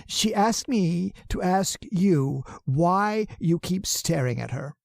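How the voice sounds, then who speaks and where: A woman's voice: lowish voice, animated, slow pace, vocal fry, darkish tone, exaggerated voice. A woman playing a frightened, henpecked husband in a radio horror drama, stammering and hesitant, with a pleading manner. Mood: nervous. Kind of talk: old-time radio horror drama acting. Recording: reverberant hall sound.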